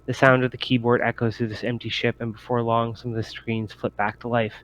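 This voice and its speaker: A man's voice: medium pitch, level tone, a little fast, a bit bright. A man working as game master, narrating a sci-fi tabletop roleplaying game in descriptive, improvised storytelling.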